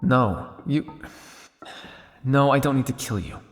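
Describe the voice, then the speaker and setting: A man playing a weary, guilt-ridden old vampire scientist in an ASMR roleplay, speaking to the listener in a measured, dryly formal way. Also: medium pitch, in a large hall, faint music behind, natural voice. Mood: earnest, reassuring.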